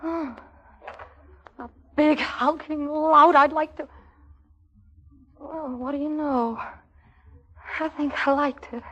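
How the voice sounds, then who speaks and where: An actress playing a quick-witted young woman posing as an officer's wife, in theatrical 1940s radio comedy style. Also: sits high, fairly even intonation, pushed and bright, highs cut off, exaggerated voice. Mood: miffed, smitten.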